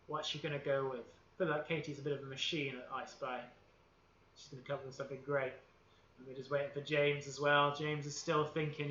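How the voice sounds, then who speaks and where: A Welsh man with a high, very bright and tense voice, upbeat and playful as he leads children through baking. The sound is echoey and dull, like lo-fi livestream audio from a kitchen. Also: slight background noise. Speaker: host of a kids' baking livestream. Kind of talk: leading a live baking session with a game of I Spy. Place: home kitchen.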